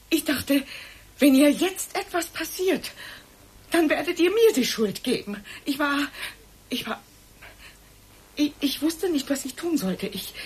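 An actress playing a cornered, guilt-ridden woman in a radio play, halting and pleading as she defends herself. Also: sits high. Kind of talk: German radio play acting.

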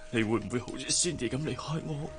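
A man with a Hong Kong Cantonese accent acting a heartbroken, possessive, hard-drinking gentleman in a radio drama, impassioned and volatile. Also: mid-range voice, fast talker, over music, natural voice. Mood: pleading. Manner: impassioned, swinging between pleading and outbursts.

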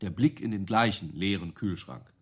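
A self-assured business consultant in a radio play. His voice is slightly rough and moves widely in pitch. He lays out his argument deliberately, with pointed rhetorical turns. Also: lively intonation, a bit bright, exaggerated voice. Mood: measured, persuasive. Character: confident male business consultant pitching a bold idea, measured. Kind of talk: radio play dialogue, deliberate persuasive presentation.

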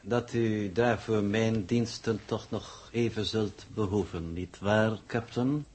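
An icy, smug impostor scientist in a vintage radio play. His low, husky voice moves slowly and formally, as if he has the upper hand. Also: steady tone, a little mellow, soft background music. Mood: smugly composed. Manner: formal, deliberate, smugly superior. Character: cold, unflappable impostor scientist, taunting his captors with knowledge. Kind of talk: 1960s Dutch radio drama acting. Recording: muffled early 1960s radio recording.

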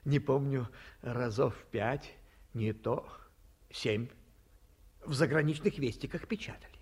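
A talkative old provincial man in a 1950s radio drama. His voice is gravelly and husky, and he drawls slowly in folksy old-fashioned speech. He swings between jovial boasting and quiet grief. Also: mid-range voice. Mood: boastful. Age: older.

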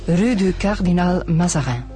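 Woman playing middle-aged Flemish wife, low female register, formal, firm, anxious and insistent, radio drama, clear music. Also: animated, normal speed, warm tone, lightly acted. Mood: defensive. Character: mature married woman, anxious, indignant, insistent. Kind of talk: naturalistic radio drama acting.

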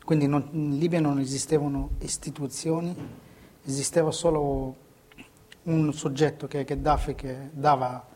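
A man's voice: on the high side, flat delivery, moderate pace, dark tone. A man giving spontaneous, unscripted testimony as an interviewee, speaking hesitantly with frequent filler phrases.

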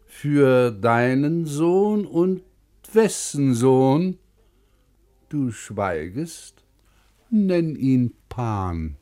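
A man reciting verse, fables and old texts in a declamatory, measured 1950s German radio style. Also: medium pitch, very animated, dark tone. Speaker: reciter in a literary radio programme.

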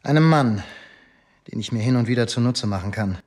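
An actor playing a male police inspector in a radio drama, deferential yet self-assured, speaking formally and in a measured, self-justifying way. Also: mid-range voice, lively intonation, darkish tone, lightly acted. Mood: dutiful, guarded. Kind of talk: German radio drama dialogue.

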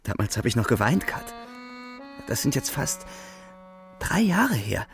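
An actor playing a young German soldier, loyal, anguished and weary of war, in a radio drama. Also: male voice, mid-range voice, big pitch swings, measured pace, gravelly. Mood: wistful. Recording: music behind the voice.

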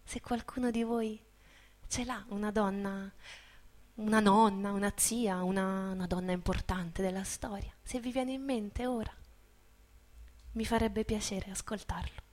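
A woman's voice: medium pitch, fairly even intonation, unhurried, a little mellow. A woman performing as a storyteller, moving between theatrical narration and informal, reflective talk to an audience.

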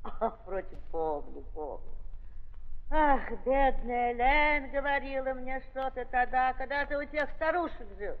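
Imperious old aristocratic aunt, swooping intonation. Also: female voice, high-pitched, lively intonation, unhurried. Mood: nosy, amused. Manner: drawling emphasis, peppers speech with French. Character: blunt, gossipy, imperious aristocratic old aunt, fondly mocking. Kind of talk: 1930s theatrical radio acting. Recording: dull 1930s recording with hall reverb.

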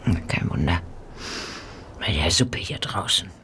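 An actress playing a coarse, cheeky Victorian street prostitute in a radio play, rough in her talk and given to muttering. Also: rumbling low, lively intonation, unhurried, whispered. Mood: muttering, wary.

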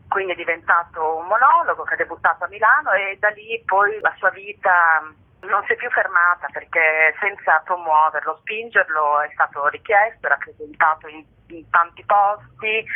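An actress giving an earnest radio interview, searching for her words. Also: on the low side. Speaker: theatre actress, interview guest. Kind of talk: thoughtful radio interview talk. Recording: narrow telephone line.

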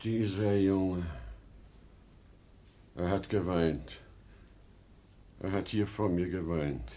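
A man's voice: low-pitched, slow, warm tone. An actor playing an aging, exhausted salesman father with wild hopes, in a 1950s German radio drama. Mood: moved, dreamily hopeful. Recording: old 1950 radio production.

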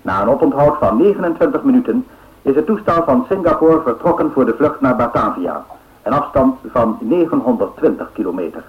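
An actor playing a radio newsreader who reads 1930s air race bulletins in a formal, measured way. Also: male voice, mid-range voice, expressive, close to an everyday voice. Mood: formal, factual. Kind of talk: scripted radio news bulletin. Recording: narrow-band old radio recording.

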